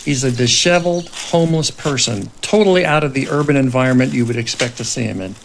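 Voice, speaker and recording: A man's voice: medium pitch, fast talker, gravelly, slight background noise. A man presenting a podcast in a scripted way and narrating a dramatic script reading as its writer-director.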